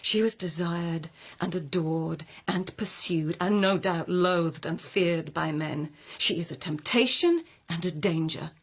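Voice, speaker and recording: An actress playing an intelligent, outspoken lady of the court in a radio drama, speaking with deliberate, rhetorical phrasing and pointed irony. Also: lowish voice, husky. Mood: impassioned, scornful.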